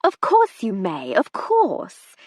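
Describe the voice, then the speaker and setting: A woman reading aloud voices a gushing, imperious society wife in her fifties, with a breathy voice and a dry sound. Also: on the high side, wildly swooping pitch, takes it slowly, darkish tone, no echo, voice pushed up, exaggerated voice. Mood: gushing, sharp. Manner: gushing, then icy and imperious.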